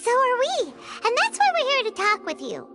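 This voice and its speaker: A woman's voice: very high voice, wildly swooping pitch, moderate pace, loud music behind the voice, heavily acted. A woman voicing a tiny, cheeky, childlike fairy companion in a video game, chirpy, teasing and self-important. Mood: curious. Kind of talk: scripted video game dialogue.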